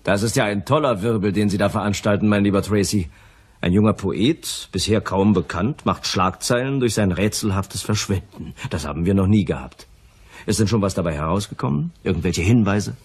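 Jovial wry detective agency boss, very deep, husky, crime radio play. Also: male voice, fairly quick. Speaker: radio drama actor.

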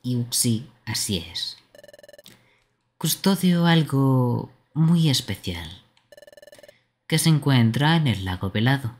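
A man voicing a solemn, easily offended mystical lake guardian in a game, formal, measured and theatrical, with a husky voice. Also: mid-range voice, animated. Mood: solemn welcome.

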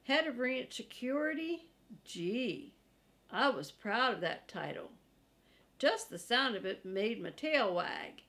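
A self-important male cow dog from a children's book, voiced by a woman reader. He grumbles and boasts in a folksy, emphatic way, with slow delivery and a fairly high voice for the part. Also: sing-song, a bit bright, full character voice. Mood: proud, folksy.

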